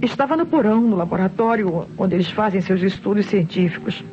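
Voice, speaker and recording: Woman acting a distressed Brazilian widowed housewife, dramatic and halting, middling pitch, old radio drama, muffled recording. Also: expressive, background noise, lightly acted. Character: distressed widowed housewife and mother testifying to police.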